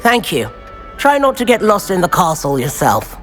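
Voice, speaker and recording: A man's voice: high-pitched, wildly swooping pitch, moderate pace, a bit bright, soft background music, exaggerated voice. A man with a British accent playing a friendly, chatty, easy-going teenage schoolboy in a video game, earnest in manner. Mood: enthusiastic. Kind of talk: video game character dialogue.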